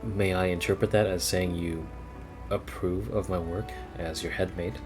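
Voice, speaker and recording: A man voicing a polite, devoted young maid in a gentle, formal way, in a game story. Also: deep voice, fairly even intonation, moderate pace, over music, lightly acted. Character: soft-spoken, devoted young woman maid, polite and deferential.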